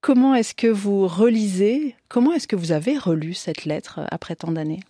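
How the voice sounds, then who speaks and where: A woman hosting a studio interview, asking long measured questions with frequent fillers. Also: mid-range voice, animated, dark tone, no room sound. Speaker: radio host. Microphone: studio microphone.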